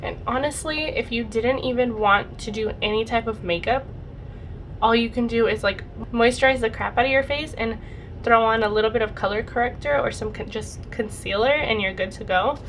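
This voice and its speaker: A young woman vlogger chatting casually through a makeup routine, her voice a bit raspy and her nose congested from a cold. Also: on the high side, normal speed, a little hiss or hum. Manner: chatty, rambling, lots of "like" and "super". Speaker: beauty vlogger. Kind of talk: casual makeup tutorial chat.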